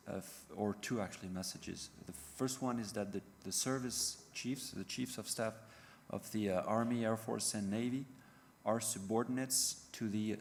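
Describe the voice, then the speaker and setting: Middle-aged French-accented man, lowish voice, hesitant conference talk in a reverberant hall. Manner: halting, many fillers and restarts. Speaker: panel speaker. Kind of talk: conference presentation. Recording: reverberant hall with faint noise.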